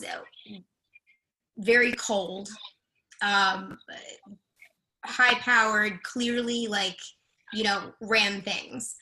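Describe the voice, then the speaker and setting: A woman, an actress, casually discussing a reading in a halting, searching way. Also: mid-range voice, sharp tone, a little hiss or hum, rough recording. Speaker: actress in readers theatre.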